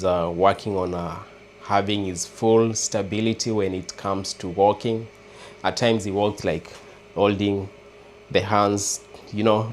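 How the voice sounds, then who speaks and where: Kenyan guy chatting on a livestream, lowish voice, slow and halting, faint hum behind him. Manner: hesitant, halting, restarts words often. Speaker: livestream host. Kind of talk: casual live chat with viewers.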